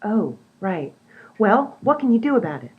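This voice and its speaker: A woman's voice: mid-range voice, big pitch swings, moderate pace, husky, phone-quality sound. A woman acting an exasperated, determined amateur sleuth in a comedy radio play, with a theatrical manner. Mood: puzzled. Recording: faint background noise.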